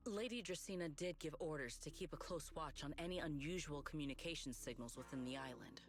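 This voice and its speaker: A woman's voice: low-pitched, a little fast, lightly acted. A woman playing a stern, skeptical officer in a sci-fi video game, speaking in a formal, cool and guarded way. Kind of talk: scripted video game voice acting. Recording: game music behind the voice. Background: background music.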